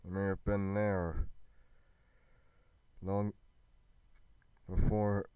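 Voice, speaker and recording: A man acts out a noble young male warrior in a fantasy roleplay. His voice is deep and smooth, and he speaks very slowly, with solemn, deliberate weight and halting pauses. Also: level tone, no echo, muffled recording. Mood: pensive, solemn. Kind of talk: dramatic roleplay monologue.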